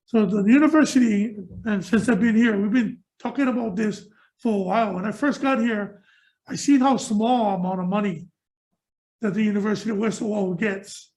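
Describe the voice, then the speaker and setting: A man's voice: high-pitched, moderate pace, darkish tone, rough recording. A man from Hawaii speaking as a state senator at a hearing, earnest and conversational, with informal phrasing. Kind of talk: legislative hearing comment. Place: hearing room.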